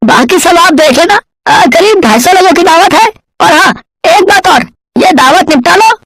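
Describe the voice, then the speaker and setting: A well-off male bird from a children's cartoon, acted by a woman, hearty and chatty. The voice is high, with folksy, effusive exclamations. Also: expressive, normal speed, fairly bright tone, slight background noise, poor audio. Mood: effusive, jovial. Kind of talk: children's cartoon story acting.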